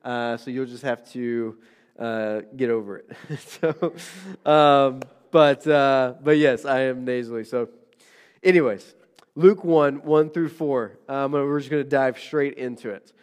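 A man's voice: medium pitch, measured pace, clean tone. A male pastor giving a conversational sermon, nasal from a recent illness, with frequent fillers and restarts.

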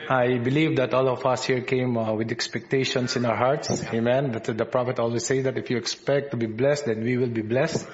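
A man with a Filipino accent preaching a sermon as a visiting minister, halting often with fillers and restarts. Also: medium pitch, fairly even intonation, dull audio. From Philippines. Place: church service.

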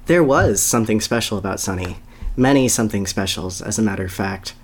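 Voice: mid-range voice, lively intonation, a little fast, slightly rough. A podcast host talking casually and reading a story aloud as a dramatic reading.

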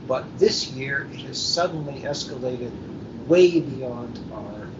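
Male panel guest, deliberate and formally phrased, heard over a lo-fi live feed with plenty of background noise. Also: mid-range voice, animated. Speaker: science fiction book editor, panel guest. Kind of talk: measured panel discussion. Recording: muffled, noisy live hotel internet-call recording, distant.

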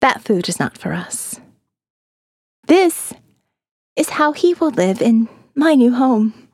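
Female narrator, eerie and dreamy, hushed dips, dry booth sound. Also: no echo. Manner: dreamy, eerie, drops to hushed low tones. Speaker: audiobook narrator. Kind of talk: scripted first-person narration.

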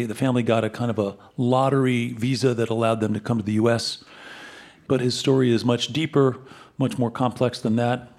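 A man's voice: medium pitch, darkish tone. A man hosting a live radio show from a concert hall, energetically introducing the week's guests to an audience. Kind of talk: enthusiastic stage introduction.

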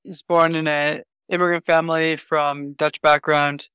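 Man, fairly high and smooth voice, dry close sound, casual and chatty, faint music underneath. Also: normal speed, no room sound. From Canada. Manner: relaxed and chatty, leans on "like". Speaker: podcast guest, community worker. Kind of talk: casual interview talk.

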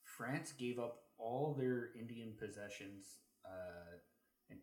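A man co-hosting a casual history chat, haltingly searching for details as he talks, with a roomy echo around his voice. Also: medium pitch, darkish tone. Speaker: podcast co-host. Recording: roomy, echoing sound.

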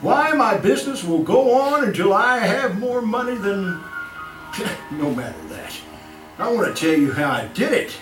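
An actor playing a boastful, cunning mad serial killer in old-time radio horror style, with a chuckling, halting, sinister delivery. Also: male voice, sits high, sing-song, fairly bright tone, heavily acted. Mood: agitated, imperious. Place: live theatre stage performance. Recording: echoey live stage recording, distant mic, music.

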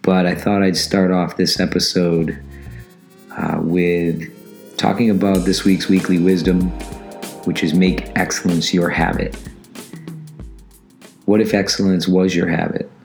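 A man hosting a motivational podcast, his voice raspy and hoarse as it recovers from laryngitis, speaking slowly and steadily. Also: sits low, level tone, a little mellow, faint music behind. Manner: slow, measured motivational delivery. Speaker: martial arts instructor and podcast host.

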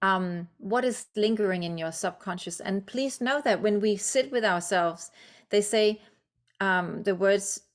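A woman's voice: medium pitch, unhurried. Danish woman speaking English, encouraging. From Denmark. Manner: warm, encouraging, reflective with many fillers. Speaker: guest, author and coach. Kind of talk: podcast interview.

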